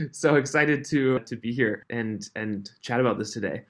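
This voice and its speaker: A man in his thirties, raised in Switzerland, with a mixed, faintly Canadian accent, chatting as a podcast guest, friendly and quick to laugh. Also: mid-range voice. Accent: mixed, Canadian-tinged. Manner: friendly, laughs often, restarts phrases. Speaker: podcast guest, company founder. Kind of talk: casual interview chat.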